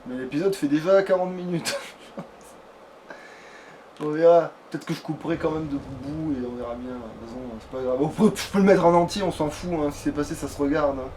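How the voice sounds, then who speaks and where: A man's voice: a little high, moderate pace, dark tone. A man doing casual, informal gameplay commentary as a let's player, with swearing. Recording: distant mic, clear background noise.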